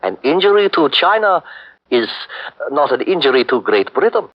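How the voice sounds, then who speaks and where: Brief: a cold, unyielding statesman, high-voiced and lively, 1940s radio drama, dull old broadcast sound. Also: moderate pace. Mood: cold, firm. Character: male Japanese statesman, stern, hard-bargaining. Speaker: Marquis Ito.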